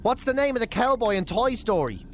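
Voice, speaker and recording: High-voiced Irish radio host, joking and chatty. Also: male voice, big pitch swings, brisk, faint music behind. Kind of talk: casual banter and quiz hosting. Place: radio studio.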